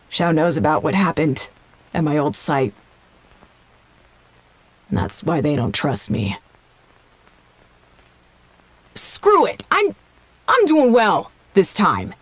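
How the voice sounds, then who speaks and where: A woman's voice: on the low side, breathy edge, full character voice. A woman in an audio drama playing an agitated, defensive scientist who talks casually and swears. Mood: agitated, defiant.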